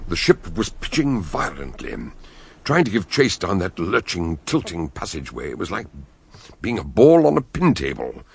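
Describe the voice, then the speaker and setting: A man's voice: low-pitched, very animated, normal speed, creaky, breathy edge, exaggerated voice. An actor playing a genial detective doctor who hesitates with ums and uhs, in a radio drama he also narrates. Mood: narrating.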